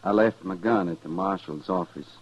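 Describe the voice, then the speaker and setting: A man's voice: low-pitched, moderate pace, husky. An actor playing a grim, determined Old West man out for revenge, terse and threatening, with an American accent in a 1950s radio western. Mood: cold, threatening. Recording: dull, noisy vintage radio recording.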